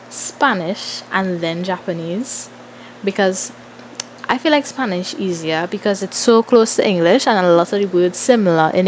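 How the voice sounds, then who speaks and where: Female vlogger, twenties, Trinidadian accent. Slow, informal Q&A chat with playful asides, some background noise. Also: on the low side, poor audio. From Trinidad and Tobago. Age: 20s. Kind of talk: casual Q&A chat to followers.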